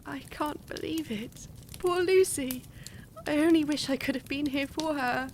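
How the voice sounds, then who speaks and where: A woman playing a polite, earnest young married woman in a gothic horror drama, speaking a little haltingly. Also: high voice, a little hiss or hum. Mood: grieving.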